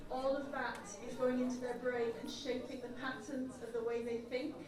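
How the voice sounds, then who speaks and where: A high-voiced woman giving a speech to a gathered audience, telling stories earnestly and pausing with ums and uhs. She is recorded from far off in a very echoey hall, with the crowd audible behind her. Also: little pitch movement. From Ireland. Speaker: community group chair giving a speech. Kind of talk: public speech at a community event. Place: large echoey event hall.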